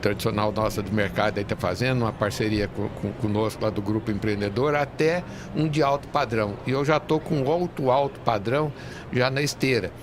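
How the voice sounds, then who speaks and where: Halting man over clear background noise. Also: on the low side. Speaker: real estate industry leader, guest. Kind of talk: interview conversation. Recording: noisy venue with urban ambience. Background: clear ambient noise of a busy fair.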